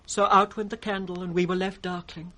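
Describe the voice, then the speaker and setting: An actor playing a witty, impudent court fool in radio Shakespeare, with a sing-song, riddling delivery. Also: male voice, sits high, level tone, fairly quick, bright, forceful tone. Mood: mocking. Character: witty, mocking court fool, teasing the king. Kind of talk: 1960s British radio Shakespeare acting, with sung rhymes. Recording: vintage 1960s radio drama recording.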